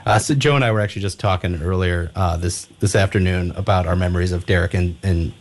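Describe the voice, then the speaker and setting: A man's voice: low voice, fast talker. A man co-hosting a casual live radio show, talking in an informal, chatty way.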